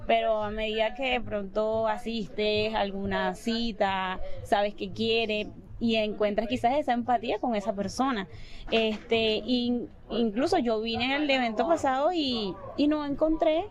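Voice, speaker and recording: A woman's voice: a little high, steady tone, moderate pace, dull audio. A woman interview guest who talks hesitantly and restarts her phrases. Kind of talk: casual interview chat.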